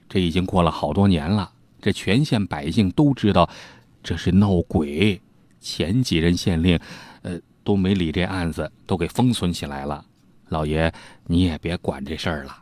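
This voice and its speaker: Guy doing an old-time county clerk, low and hushed, careful, deferential storytelling voice. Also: moderate pace, no echo, natural voice. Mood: hushed warning.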